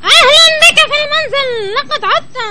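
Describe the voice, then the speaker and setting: A woman voicing an excitable, emotional animal friend, effusive and dramatic, in a children's cartoon dub. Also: extremely high pitch, quick pace, noisy background. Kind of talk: Arabic children's cartoon dubbing.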